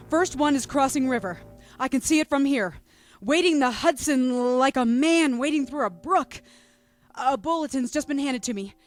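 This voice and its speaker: A man's voice: very high voice, dry recording. An actor playing a male radio announcer on a city rooftop, giving urgent, clipped bulletins in a 1930s radio drama. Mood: tense.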